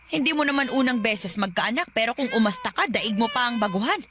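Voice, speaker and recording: A woman's voice: on the high side, lively intonation, brisk, noisy background, lightly acted. An actress playing a cruel, haughty older mother-in-law in a Filipino radio drama, scolding with sarcasm and contempt. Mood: irritated scolding.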